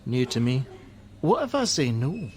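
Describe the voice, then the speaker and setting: A man acting a gruff, jaded helpline worker. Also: medium pitch, big pitch swings, unhurried, darkish tone. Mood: bitter. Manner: blunt, sardonic, defensive. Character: jaded, gruff, hot-tempered male crisis-line worker and father. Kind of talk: naturalistic radio play acting.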